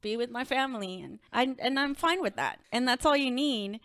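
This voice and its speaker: A woman from Puerto Rico chats as a podcast guest. Her voice sits a little high. She tells her stories in a lively, expressive way. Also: moderate pace. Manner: animated, expressive storyteller. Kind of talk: casual conversational storytelling.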